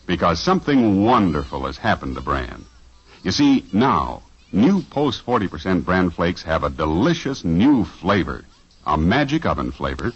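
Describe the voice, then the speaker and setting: Deep-voiced vintage radio announcer. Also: male voice. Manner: smooth, persuasive, salesman-like. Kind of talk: 1950s radio ad read. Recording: dull old radio broadcast recording.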